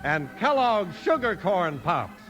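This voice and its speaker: A man's voice: high voice, very animated, slow, lo-fi sound. An American man announcing in an emphatic, polished 1950s radio style. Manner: emphatic, polished sales delivery. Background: organ music.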